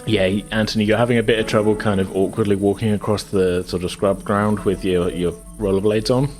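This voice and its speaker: Low voiced guy game mastering, stop-start narration, bit of music behind. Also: little pitch movement, darkish tone. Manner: halting, circling back over phrases. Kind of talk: improvised tabletop game narration.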